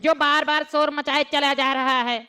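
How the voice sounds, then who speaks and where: Blustering attendant, played by a voice actor: high, scolding, excitable, music bed. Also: moderate pace, natural voice. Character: blustering male hospital attendant. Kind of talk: comic cartoon voice acting. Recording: music playing under.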